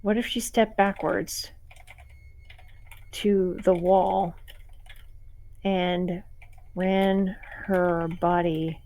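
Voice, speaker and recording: A chatty woman streamer with a slightly raspy voice. She talks casually in loose, rambling asides, thinking aloud as she writes. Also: on the low side, a little mellow, a little hiss or hum, rough recording. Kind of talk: casual live-stream chat while writing.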